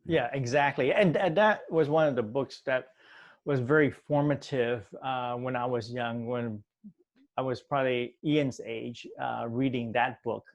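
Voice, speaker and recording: A middle-aged man with a gravelly voice and a slow, unhurried delivery. He talks as a podcast guest, halting often, with many fillers and restarts. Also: medium pitch, dull audio. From Vietnam. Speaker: theologian and author, podcast guest. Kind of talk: casual interview talk.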